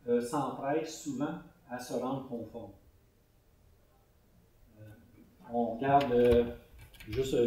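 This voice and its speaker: Québécois man presenting at a conference, mellow voice, heard from a distance in a reverberant hall. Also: mid-range voice. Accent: Québécois French. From Canada (Quebec). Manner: conversational, explanatory with many fillers. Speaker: conference speaker. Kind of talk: conference talk answering questions. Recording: echoey hall recorded from far off.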